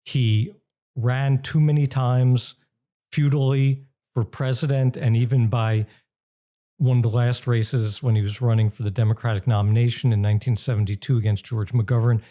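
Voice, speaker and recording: An older American man speaks in a very mellow, dark voice at an average pitch. He explains like a professor being interviewed, deliberate and emphatic, often pausing in the middle of a phrase. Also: steady tone. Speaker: interview guest, journalism professor and author.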